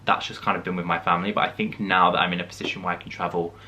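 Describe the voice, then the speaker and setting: A man's voice: low voice, flat delivery, very fast, pushed and bright. A young man in his twenties from the English Midlands, chatting casually as he answers viewer questions in a vlog. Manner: chatty, reflective, frequent "like" and "um" fillers. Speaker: vlogger.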